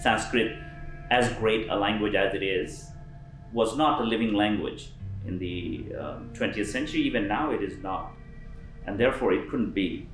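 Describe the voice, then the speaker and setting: Roomy-sounding man explaining with lively emphasis. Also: on the low side, moderate pace, soft background music. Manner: thoughtful, frequent ums and restarts, lively emphasis. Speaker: interviewed linguist. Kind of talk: explanatory interview talk.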